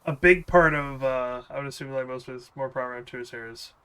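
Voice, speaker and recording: A man with a bright, tense-edged voice chats loosely while he plays a game. He rambles through viewer questions in a relaxed, offhand way, with small laughs. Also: mid-range voice, quick pace, clean tone. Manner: laid-back, rambling, answers chat questions with small laughs. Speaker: gaming streamer. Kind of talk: casual gameplay chat with viewers.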